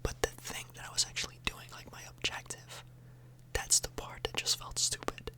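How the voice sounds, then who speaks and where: A woman speaking in a breathy, unvoiced whisper close to the microphone, rambling informally and a little hesitantly in an ASMR style. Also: normal speed. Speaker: ASMR video host.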